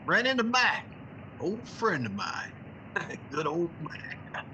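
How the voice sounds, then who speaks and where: A wry, hard-bitten newspaperman acted by a man in the style of old radio drama. His voice is very bright and forceful and sits a little high, with colloquial wisecracks over faint background noise. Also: very animated, slow pace, heavily acted, low-quality recording. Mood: weary, tipsy. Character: wry, scrappy male newspaper reporter, hard-drinking. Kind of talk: 1950s radio drama acting.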